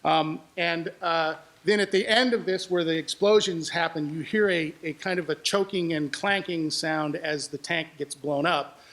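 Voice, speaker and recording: A man with a fairly high voice gives an informal live presentation as an audio producer. He tells production stories in a conversational way. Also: moderate pace, few pauses, a bit bright. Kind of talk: informal storytelling to an audience.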